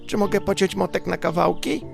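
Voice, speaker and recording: A man voicing a tiny, gleefully mischievous girl creature for a storybook, in a thin squeaky excitable voice, with music behind. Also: fairly quick, vocal fry. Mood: eager, curious. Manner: exclaiming, excitable.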